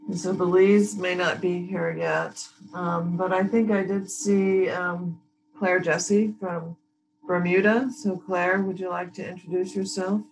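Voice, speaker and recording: A woman in her thirties hosting a training workshop over a video call, warmly introducing each participant in turn. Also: fairly low pitch, little pitch movement, unhurried, slight background noise, poor audio. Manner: friendly, thanks each speaker. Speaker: workshop host.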